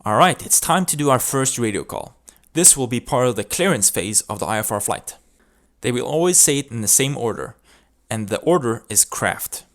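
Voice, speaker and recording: A man's voice: medium pitch, animated, moderate pace. Man narrating an instructional tutorial. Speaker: tutorial presenter. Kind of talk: instructional video narration with demonstrated radio calls.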